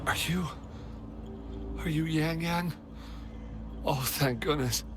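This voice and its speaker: A man playing a wounded, exhausted, fearful supply soldier in a game cutscene, halting and struggling for breath. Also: on the high side. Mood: weak relief. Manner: halting, strained. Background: music.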